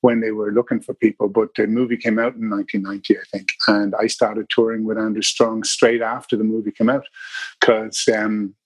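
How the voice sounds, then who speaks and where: Middle-aged Irish man, husky and dry, rambling interview storyteller. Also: lowish voice, flat delivery, a little fast, dry recording, rough recording. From Ireland. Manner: rambling, many restarts and fillers. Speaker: bass player guest.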